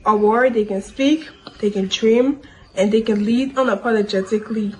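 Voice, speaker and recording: A young woman from Liberia gives an earnest, measured personal statement. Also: fairly high pitch, a little hiss or hum, poor audio. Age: young adult. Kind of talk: short recorded personal statement.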